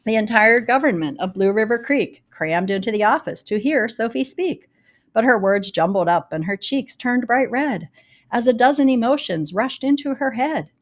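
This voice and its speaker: A woman reads a rhyming children's storybook aloud, telling it in a dramatic, deliberate way with varied emphasis. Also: a little high, lively intonation. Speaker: storybook reader. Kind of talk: expressive read-aloud of a rhyming children's picture book.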